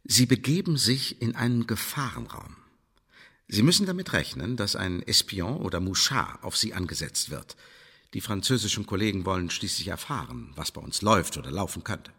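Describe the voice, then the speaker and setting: An actor playing a shrewd Elizabethan spymaster in a radio play, instructing an agent in a formal, emphatic manner. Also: male voice, fairly low pitch, sing-song, normal speed, gravelly, a bit bright, breathy edge, full character voice. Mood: cautioning. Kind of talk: German radio play acting. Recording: long reverberant hall echo.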